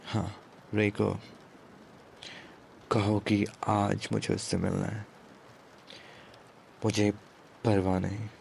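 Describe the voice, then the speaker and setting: A man playing a commanding, protective mafia boss in an ASMR romance roleplay, speaking in a hushed, deliberate way with English words mixed in. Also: level tone, a little hiss or hum, exaggerated voice. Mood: stern, commanding. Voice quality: low, gravelly, breathy at times. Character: commanding yet protective male mafia boss, tender with his captive.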